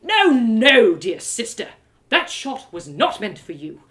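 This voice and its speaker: A woman's voice: fairly high pitch, sing-song, slow pace, fairly bright tone, full character voice. A menacing archer from a fantasy storybook , voiced by a woman reader. He is a hostile man who taunts as he threatens, with dramatic delivery. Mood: anguished. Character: hostile, menacing male archer, Trenia's brother.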